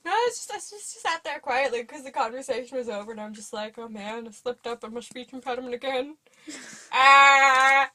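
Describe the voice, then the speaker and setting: A woman's voice: high-pitched, normal speed, pushed and bright. A young woman with a speech impediment that makes her sound like baby talk, chatting casually and rambling through a movie review with lots of fillers. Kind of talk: casual chat.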